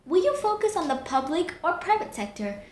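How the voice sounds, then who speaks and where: A woman's voice: extremely high pitch, very animated, brisk. A woman playing a perky, earnest news interviewer in a comedic audio drama, asking polite broadcast-style questions. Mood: probing. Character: female TV news panelist, perky, earnest or starstruck. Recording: roomy echo, faint background noise.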